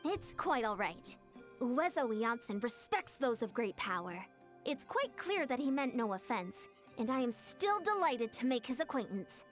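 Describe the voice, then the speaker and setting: A woman voicing a formal, earnest young female warrior-diplomat in a video game, speaking in a measured, polite way. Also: fairly high pitch, sing-song, soft background music.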